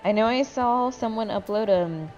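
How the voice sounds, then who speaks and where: A woman's voice: on the high side, normal speed, darkish tone, muffled recording. A sleepy woman chatting casually and candidly with friends over gameplay, swearing freely as she goes. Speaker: gamer commentating with friends. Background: game music.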